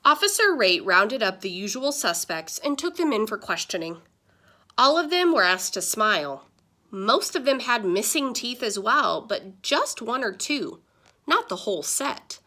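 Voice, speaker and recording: Woman, storyteller, children's read-aloud, expressive, unhurried, lively pitch, bright tone. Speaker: story reader. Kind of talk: children's picture book read-aloud with asides to listeners.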